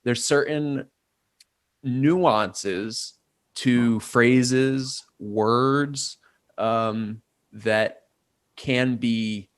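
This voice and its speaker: A man's voice: medium pitch, steady tone, drawn-out words, smooth, no echo. A man, a guest in a casual interview, thinking aloud and weighing ideas from many angles. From Port Chester, New York. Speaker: guest, artist and designer.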